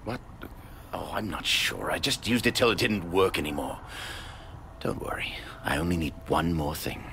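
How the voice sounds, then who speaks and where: A man's voice: low voice, animated, moderate pace, gravelly, bright, forceful tone, a little hiss or hum, heavily acted. An actor playing an absorbed, absent-minded male scientist in a video game, eager and breezily unapologetic.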